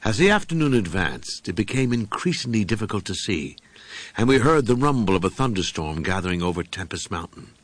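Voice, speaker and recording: Low-pitched man reading a horror audiobook. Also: sing-song, a little fast, no room sound. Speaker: audiobook reader. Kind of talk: scripted narration of a horror story.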